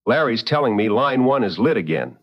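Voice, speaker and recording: An actor playing a seasoned, protective late-night radio host in a drama, with a firm, wry and deliberate delivery. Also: male voice, medium pitch, sing-song, dry recording, lo-fi sound. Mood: wry, attentive.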